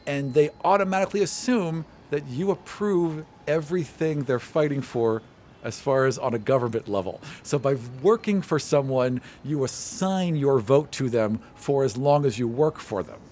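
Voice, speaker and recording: A man's voice: mid-range voice, moderate pace, mellow, slight background noise. A man narrating and explaining a tabletop game as game master.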